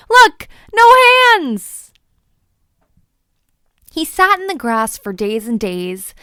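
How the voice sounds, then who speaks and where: Lady reading a kids book out loud, crisp n fairly high, playful storytelling, no echo. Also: studio quality. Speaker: children's book read-aloud presenter. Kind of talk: expressive storybook narration.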